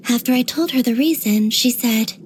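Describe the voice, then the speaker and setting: A woman voicing a dreamy, serenely detached young woman in a video game, speaking gently and softly with whispery, breathy endings. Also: on the high side, normal speed, a little hiss or hum, lightly acted, low-quality recording. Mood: innocently curious. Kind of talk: scripted game character voice lines.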